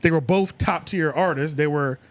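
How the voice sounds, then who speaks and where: A man's voice: a little high, normal speed, no echo. Agreeable male podcast host feeling out thoughts. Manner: tentative, searching for words, agreeable. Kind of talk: casual podcast discussion.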